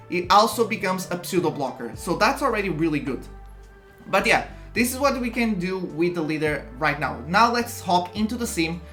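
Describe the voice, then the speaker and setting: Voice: medium pitch, fairly bright tone. A content creator with a Portuguese accent, explaining a card game strategy in a casual, chatty way and often restarting sentences. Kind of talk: casual explainer talk to camera.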